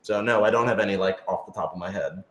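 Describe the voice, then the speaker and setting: A man's voice: low-pitched, quick pace. A man chatting casually in a group Q&A as a writer and producer, thinking aloud in loosely built, hedged sentences. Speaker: scriptwriter and producer of an audio drama. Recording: roomy, echoey sound.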